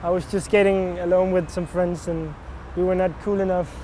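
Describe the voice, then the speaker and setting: A young man with a Danish accent, giving short, halting answers in a casual skateboarding interview. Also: sits high, flat delivery. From Copenhagen, Denmark. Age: young adult. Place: outdoors in the city. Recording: loud outdoor traffic noise. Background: loud traffic and city ambience.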